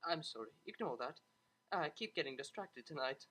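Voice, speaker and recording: A prim young mare cellist from a pony storybook, put on by a narrator. She is refined and formal, slightly awkward and hesitant, with lilting, animated pitch. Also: normal speed, a little hiss or hum, low-quality recording. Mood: apologetic, awkward. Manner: proper, hesitant, formal wording.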